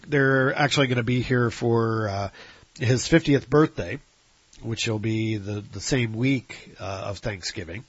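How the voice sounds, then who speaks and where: A man's voice: mid-range voice, slightly rough, no echo. A man with a Midwestern American accent hosting a solo podcast, sounding nasal and stuffed up from his sinuses, rambling with fillers and restarts in an apologetic way. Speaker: podcast host. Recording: dry, dull lo-fi recording.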